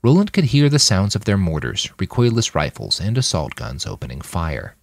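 A man reading scripted fiction as an audiobook, telling the story dramatically in measured, clipped phrases. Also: sits low, huge pitch swings, creaky, no echo. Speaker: author reading his own novel on a podcast.

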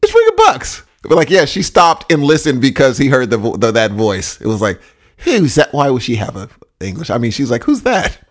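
A man's voice: mid-range voice, huge pitch swings, normal speed. A man co-hosting a podcast, chatting casually in slangy language, telling joking stories and acting out quotes. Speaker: podcast co-host. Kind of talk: casual chat, storytelling.

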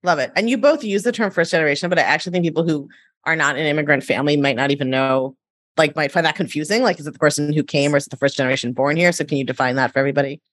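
A woman host with a low, deep voice chats eagerly with her guests. The sound is dry and close, with no room around it. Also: no room sound. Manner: chatty, enthusiastic, quick asides. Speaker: podcast host. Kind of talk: casual podcast interview.

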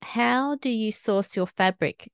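A woman's voice: a little high, slow pace, smooth. A middle-aged or older woman hosting a podcast, speaking in a presenter's style as she introduces and interviews. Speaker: podcast host.

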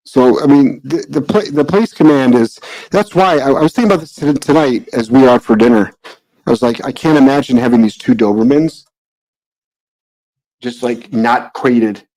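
A man's voice: mid-range voice, moderate pace, darkish tone, rough recording. A man from the Midwest hosting a casual live dog-training Q&A, speaking bluntly and plainly, with some swearing. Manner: blunt, profane, matter-of-fact.

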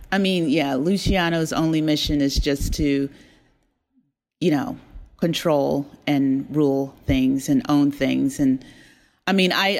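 A woman being interviewed as a drama creator, talking casually with an animated, enthusiastic manner. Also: sits low.

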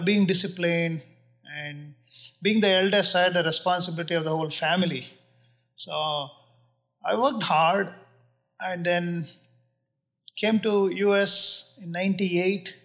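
High-voiced Indian man, earnest and measured. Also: fairly even intonation, fairly bright tone, faint music behind. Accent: Indian English. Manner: earnest, measured, pauses with many uh and um fillers. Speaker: real estate investor guest. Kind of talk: casual interview answers.

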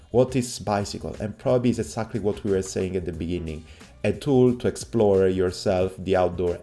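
A man's voice: lowish voice, warm tone. A man hosting a casual podcast in non-native English, with an enthusiastic, rambling, informal way of talking. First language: not English. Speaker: podcast host. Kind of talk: casual podcast intro and interview.

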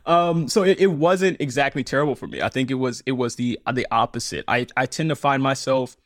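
A man who is a stage storyteller, talking casually in an interview, animated and often laughing as he acts out his own remarks. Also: mid-range voice, brisk, dry recording, studio quality. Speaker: storyteller and podcaster, guest.

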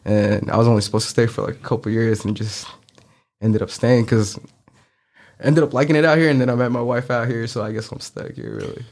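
Easygoing male guest, thirties, from San Francisco, average pitch. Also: steady tone, a little fast, a little mellow. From San Francisco, United States. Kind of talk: casual podcast chat.

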